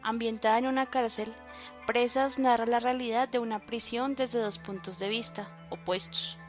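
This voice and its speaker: A woman reading a book synopsis aloud as scripted narration, in a dramatic, measured way. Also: a little high, steady tone, muffled recording. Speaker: podcast contributor reading a synopsis. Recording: read over background music.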